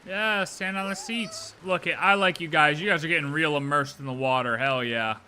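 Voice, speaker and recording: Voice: mid-range voice, moderate pace, bright, forceful tone, a little hiss or hum. A streamer giving casual, playful, exclamatory live commentary on a game, often repeating phrases. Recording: stream with game music behind.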